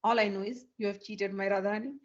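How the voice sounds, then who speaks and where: A woman's voice: mid-range voice, steady tone, quick pace, muffled recording, pitched below the performer's own voice, natural voice. A woman voicing an angry, fiercely loyal young woman who scolds a cheat with accusing questions. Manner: accusing, indignant, rhetorical questions.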